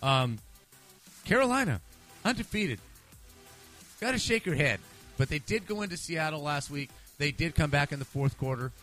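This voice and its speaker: A man with a bright, animated voice hosts a casual sports picks show. His delivery is playful and slangy, with some swearing, and faint music sits behind him. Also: a little high, normal speed, pauses often. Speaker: sports podcast host. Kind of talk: casual sports pick banter.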